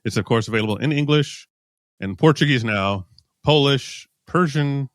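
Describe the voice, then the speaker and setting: A man's voice: medium pitch, moderate pace, no echo, studio quality. A man hosting a podcast interview, asking questions in a casual, friendly way with brief replies in between. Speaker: podcast host.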